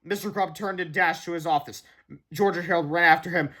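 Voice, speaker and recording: High-pitched man reading a children's book. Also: fairly even intonation, fairly quick, pushed and bright. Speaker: storybook reader. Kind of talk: read-aloud children's book narration.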